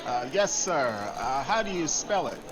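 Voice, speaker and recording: An actor playing a polite, deferential airport page in a 1940s-style radio drama. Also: male voice, medium pitch, very animated, measured pace, crowd noise, background noise. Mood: puzzled. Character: polite, puzzled airport page calling for a passenger.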